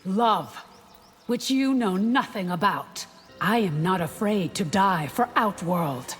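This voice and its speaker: A woman voicing a devoted, fearless protector in a video game, solemn and husky. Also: medium pitch, animated, slow pace, in a large hall, soft background music. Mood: defiant.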